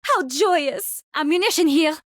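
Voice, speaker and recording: A woman's voice: high voice, lively intonation, a little slow, no echo, clean studio sound. An actress voicing a polite yet sinister woman virologist in a video game, with a French accent and a theatrical, articulate delivery. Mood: brisk, polite. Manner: theatrical, articulate, mock-courteous. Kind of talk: video game character voice lines.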